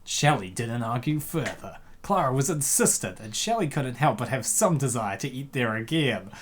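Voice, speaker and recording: Comic dub host and narrator, medium pitch, casual and joking, given to rambling commentary. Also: lively intonation, normal speed, slight background noise. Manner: jokey, rambling asides.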